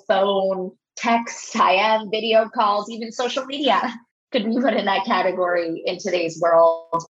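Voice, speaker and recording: Earnest woman telling workplace stories. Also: mid-range voice. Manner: earnest, anecdotal, repeats words when starting. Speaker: author and guest. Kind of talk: conversational interview storytelling. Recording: slightly dull, lo-fi audio.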